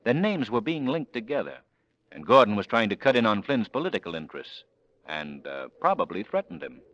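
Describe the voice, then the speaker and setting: An actor playing a confident, wry amateur sleuth who talks smoothly and sardonically in an old-time radio drama. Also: male voice, mid-range voice, sing-song, a little fast, a bit bright, no room sound. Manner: smooth-talking, sardonic, assured. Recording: dull old radio recording.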